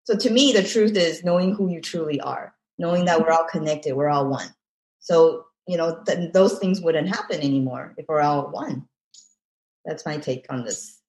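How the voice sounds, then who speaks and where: Woman, from Taiwan, interview guest, reflective, repeats phrases, soft laughs, roomy echo. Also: low-pitched, normal speed. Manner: reflective, laughs softly between thoughts, repeats phrases for emphasis. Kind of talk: casual interview conversation.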